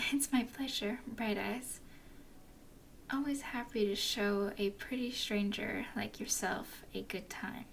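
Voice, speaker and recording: A woman voicing a flirty, playful female werewolf guide in an ASMR roleplay, speaking in soft, teasing whispers. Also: on the high side, vocal fry, slight background noise.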